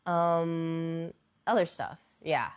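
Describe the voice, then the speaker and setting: A woman's voice: sits low, fairly even intonation, extremely slow, smooth. Congested young woman chatting on stream. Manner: rambling, lots of fillers. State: congested, nasal. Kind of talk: casual chat with viewers.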